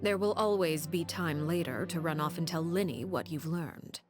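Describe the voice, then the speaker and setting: Lady doing a poised cold spy boss, lowish voice, super polite and measured, echoey. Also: over music, lightly acted. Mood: cool, courteous. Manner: silky, measured, formally polite. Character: cold, poised woman spy chief, calculating and courteous. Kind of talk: game cutscene voice acting.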